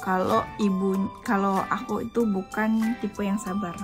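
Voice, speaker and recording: A woman's voice: medium pitch, level tone, unhurried, over music. A young woman from Indonesia, a vlogger chatting casually at home as she answers viewer questions, with frequent fillers. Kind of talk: casual chat. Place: home in Japan.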